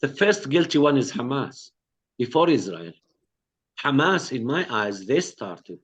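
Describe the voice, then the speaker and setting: A man's voice: medium pitch, sing-song, measured pace, husky. A man speaking earnestly on an online panel, often repeating words as he searches for how to say things. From Palestine. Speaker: co-director of a peace network, panel speaker.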